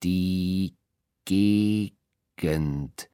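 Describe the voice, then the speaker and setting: A man recites poetry one word at a time in a low, smooth voice. He is extremely slow, with long gaps between words. The sound is very dry. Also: warm tone, no echo. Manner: isolated words with long pauses.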